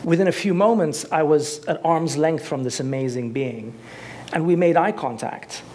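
A man in his forties giving an enthusiastic, conversational talk on a dark auditorium stage with a headset mic. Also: on the high side, moderate pace. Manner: enthusiastic, conversational, gestures with both hands. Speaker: conference speaker.

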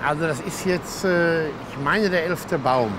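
A German man calmly explaining, with a few pauses and hesitations, in an outdoor interview. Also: on the high side, noisy background. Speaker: showman, market organizer. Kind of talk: casual interview answers. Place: outdoor Christmas market. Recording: outdoor market with loud music. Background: music.

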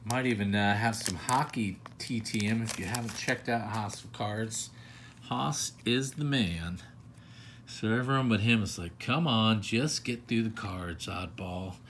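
A man with a fairly low voice chats through a baseball card opening. He rambles in self-deprecating asides while he sorts cards at a table. A faint hum of room ambience sits behind him. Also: a little slow, a bit bright. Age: older adult. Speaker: card collector channel host. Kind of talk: casual pack-opening commentary. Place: indoors at a table.

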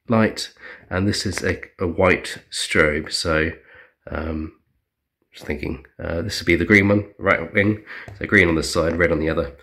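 A man's voice: sits low, fairly bright tone. A man casually walking through his model plane build at home, chatty with lots of ums and restarts. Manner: chatty, many ums and restarts, self-deprecating. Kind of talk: casual show-and-tell walkthrough. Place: indoors at a home dining table.

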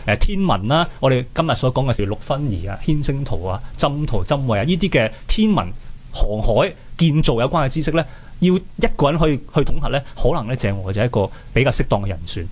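A man's voice: medium pitch, expressive, fast talker. A man with a Hong Kong Cantonese accent giving conversational history commentary, explaining by asking and answering his own questions. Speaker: co-host of a history programme.

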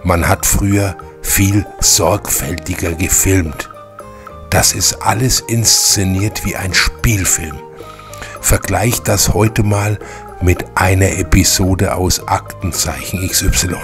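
Low-voiced male host over music. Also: fairly even intonation, takes it slowly. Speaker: channel host. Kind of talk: informal commentary addressed to viewers.

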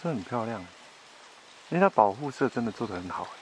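Animated young Taiwanese man, noisy recording. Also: mid-range voice, fast. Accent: Taiwanese Mandarin. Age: young adult. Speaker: travel vlogger. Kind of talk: casual chat. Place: outdoors at a forest waterfall pool. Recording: noisy outdoor handheld camera audio.

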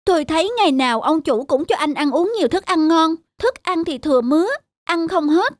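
Woman as a high-pitched pleading little cartoon mouse. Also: expressive, brisk, no echo. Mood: wheedling. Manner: pleading, deferential. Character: small timid male mouse, pleading and wheedling. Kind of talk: children's cartoon dubbing.

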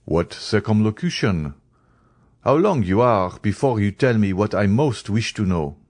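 Audiobook role: impatient young aristocrat , read by a man, proud, wounded, low-pitched, curt and demanding. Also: lively intonation, a little slow, a little mellow. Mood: astonished, impatient. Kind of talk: dramatised audiobook reading.

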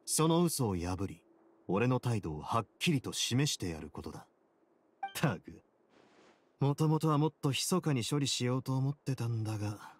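A man playing a composed, shrewd prison duke in an anime game, explaining things in a calm, measured, confident way. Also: lowish voice, a little hiss or hum, natural voice. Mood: calm, reasoning, then mildly exasperated.

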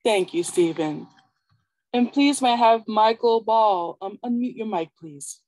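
A woman's voice: on the high side, lively intonation, a little slow, a little mellow, low-quality recording. A woman hosting an open mic over a video call, politely thanking and introducing readers.

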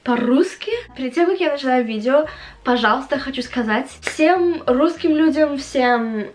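A young woman with a fairly high-pitched voice talks casually to her camera in a small room at home. Her Russian carries an English-influenced accent. She speaks in a halting way, searching for words as she answers questions as a vlogger. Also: expressive, slight background noise. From Latvia. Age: young adult.

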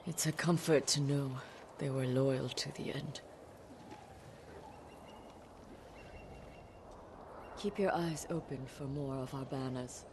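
An actress playing an older samurai noblewoman, grieving and vengeful, who speaks in a grave, measured way. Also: extremely low pitch, fairly even intonation, background noise. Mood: sombre. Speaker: voice actor. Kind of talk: dramatic game acting.

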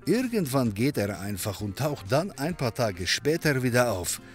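An actor playing a friendly European barkeeper in a comedy audio drama, easygoing and confiding in manner. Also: male voice, mid-range voice, big pitch swings, moderate pace, soft background music, close to an everyday voice. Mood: helpful. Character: friendly European barkeeper, helpful male pub owner.

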